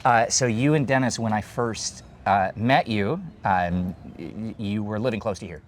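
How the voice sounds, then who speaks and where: A man's voice: on the low side, moderate pace, a little hiss or hum. A man in his thirties hosting a food show, chatting and explaining dishes while eating at an open-air stall, on a lapel mic. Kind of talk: casual food chat and explainer.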